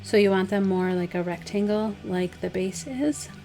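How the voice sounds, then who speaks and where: A woman with a slightly hoarse, dry voice, casually talking through a DIY craft project step by step. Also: medium pitch, moderate pace, faint music behind. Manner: relaxed, chatty, step-by-step instructions with fillers. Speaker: craft vlogger. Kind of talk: casual DIY tutorial voice-over.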